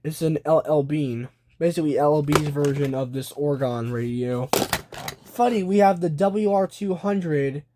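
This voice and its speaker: A man's voice: fairly high pitch, dark tone, a little hiss or hum. A man giving casual show-and-tell commentary, thinking aloud in a hesitant way. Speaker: video creator showing a collection.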